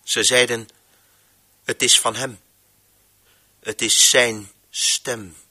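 An actor playing a stern, brooding master of voices in a radio play, commanding and deliberate, with theatrical pauses. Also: male voice, mid-range voice, little pitch movement, bright, forceful tone, heavily acted. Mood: brooding, defiant. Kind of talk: radio play monologue, declamatory.

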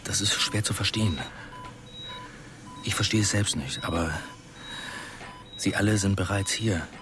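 An actor in a radio drama playing a sober, baffled official who speaks hesitantly and gravely. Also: male voice, low voice, a little slow, music in the background. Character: grave, baffled male official breaking strange news.

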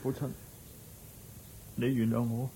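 An actor playing a humble, apologetic goldsmith's son in a radio drama, earnest and meek, speaking Hong Kong Cantonese. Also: male voice, mid-range voice, little pitch movement, takes it slowly, dark tone, rough recording. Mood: remorseful. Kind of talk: Buddhist story radio drama acting.

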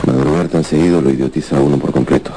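A man's voice: extremely low pitch, steady tone, very fast, warm tone, a little hiss or hum. An actor playing a weary, irritable salesman who mutters and grumbles in a radio drama. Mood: irritable grumbling.

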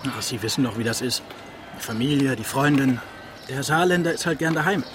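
An actor playing an easygoing, modest police officer in a radio drama, talking in a casual, understated, conciliatory way. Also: male voice, mid-range voice, fairly even intonation, a little fast, background noise, close to an everyday voice. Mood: casual, relaxed. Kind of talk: naturalistic radio drama acting.

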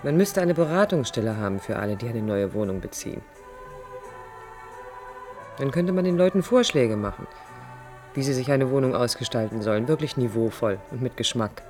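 A woman's voice: extremely low pitch, animated, moderate pace, pauses often, a little mellow. An actress playing a passionate, reflective young woman architect in a radio play, with a literary, introspective delivery. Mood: earnest, eager. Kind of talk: radio play inner monologue and dialogue. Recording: studio radio play with music.

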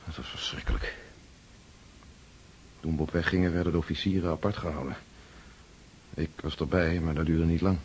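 An actor playing a weary, troubled freed prisoner of war in a radio drama, sombre and reflective. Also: male voice, sits low, fairly even intonation, normal speed, pauses often, a little hiss or hum, lightly acted.

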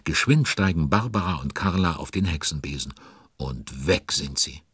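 A man's voice: lively intonation, normal speed, a bit bright, no echo, clean studio sound, heavily acted. A man narrating a children's audio drama as an avuncular, fretting storyteller, full of exclamations and questions to young listeners. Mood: confiding. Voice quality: very deep, husky.

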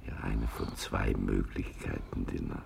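An actor in a radio play playing a wry, patient, philosophical old man with a gravelly voice and a dry, musing, unhurried delivery. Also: rumbling low, steady tone, heavy background noise, heavily acted. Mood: musing, tender. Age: older. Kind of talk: 1980s German radio play acting.

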